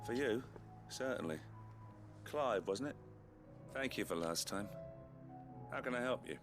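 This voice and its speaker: An actor playing a loyal, plain-spoken army captain in a fantasy game, sincere and courteous. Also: male voice, mid-range voice, lively intonation, measured pace, music in the background. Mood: courteous, friendly. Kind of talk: scripted game dialogue.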